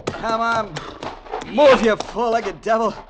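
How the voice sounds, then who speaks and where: An actor playing a hot-tempered, greedy gangster who grumbles in a surly way, in old radio drama style. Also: male voice, extremely high pitch, steady tone, slow pace, background noise. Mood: irritable. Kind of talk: 1940s-50s radio acting.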